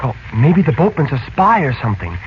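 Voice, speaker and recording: An actor playing a boyish, earnest teenage son in a 1940s radio drama, speaking in a breezy way. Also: male voice, mid-range voice, very animated, a little fast, natural voice. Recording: muffled, noisy old radio broadcast.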